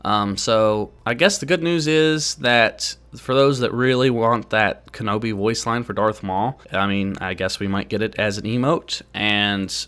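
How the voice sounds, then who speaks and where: Low-voiced man giving gaming news. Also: measured pace, hardly any pauses, fairly bright tone, clean tone. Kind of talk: casual gaming news commentary.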